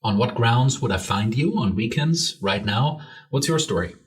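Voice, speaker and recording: A man's voice: mid-range voice, lively intonation, moderate pace. A man hosting a football podcast, earnest and slightly formal, reading his intro and asking questions. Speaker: podcast host. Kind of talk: scripted podcast intro and interview.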